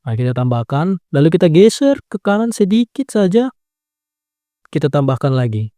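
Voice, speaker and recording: A man's voice: on the high side, normal speed, dry recording. A man walking through a phone video edit step by step, correcting himself as he goes. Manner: calm instructing with frequent "nah" and self-corrections. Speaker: video editing tutor. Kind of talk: step-by-step screen tutorial.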